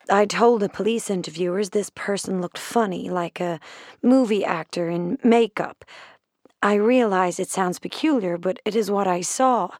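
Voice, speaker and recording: A woman's voice: medium pitch, a little mellow, no room sound, studio quality, natural voice. A woman playing an earnest, proper eyewitness giving precise, formal testimony in a radio drama.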